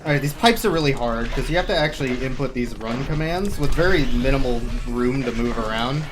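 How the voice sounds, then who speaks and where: A man's voice: mid-range voice, a little fast, music in the background. A man doing casual, joking, self-deprecating live commentary while playing a speedrun.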